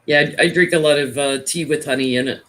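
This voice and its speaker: Loud-voiced male tarot reader, chatty, home laptop stream. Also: on the high side, steady tone. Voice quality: naturally loud voice. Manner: chatty and rambling, quick to laugh. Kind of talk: casual live stream chat. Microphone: laptop, sitting back from it.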